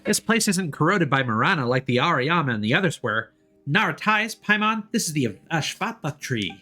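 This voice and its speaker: A man voicing a gentle forest spirit in a video game, reverently telling old lore. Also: fairly high pitch, very animated, normal speed, faint music behind, full character voice. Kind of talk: reading game lore in character.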